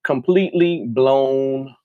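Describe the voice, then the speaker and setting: A man's voice: medium pitch, slow pace, clear, resonant tone, no room sound, phone-quality sound. A middle-aged man with an American accent chatting casually about books as a co-host, repeating phrases for emphasis. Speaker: co-host of a book review show. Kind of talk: casual book chat. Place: indoor room with bookshelves.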